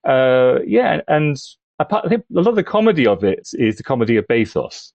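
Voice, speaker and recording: A man's voice: mid-range voice, sing-song, a little fast, gravelly, dry recording, lo-fi sound. A man discussing things casually but analytically on a podcast, in a thoughtful, bookish way with hedged phrasing.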